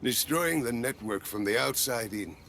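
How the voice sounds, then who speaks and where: An actor playing an older male scientist who speaks with reflective, admiring warmth. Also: mid-range voice, expressive, normal speed, vocal fry, noisy background. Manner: warm praise, reflective.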